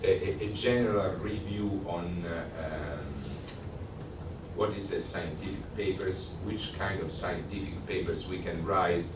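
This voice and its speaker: A man with an Italian accent giving a workshop lecture, conversational and halting, with many fillers and restarts. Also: low voice, steady tone. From Italy. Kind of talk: workshop lecture with audience questions. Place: lecture room. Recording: echoey room, distant mic, noisy.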